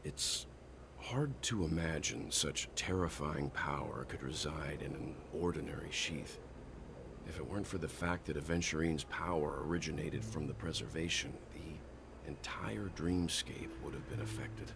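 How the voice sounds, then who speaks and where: An actor playing a calm older male companion in a game, speaking in a measured, reassuring way. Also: extremely low pitch, creaky, background noise. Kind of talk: game voice acting. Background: game music.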